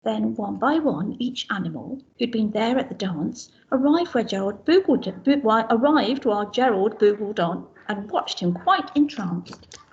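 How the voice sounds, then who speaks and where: Casting: woman teacher reading a storybook, very lively melody, fairly high voice, muffled lo-fi recording. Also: unhurried, gravelly. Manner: expressive rhyming storytelling, pointing out pictures. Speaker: infant school teacher. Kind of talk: reading a picture book aloud to children.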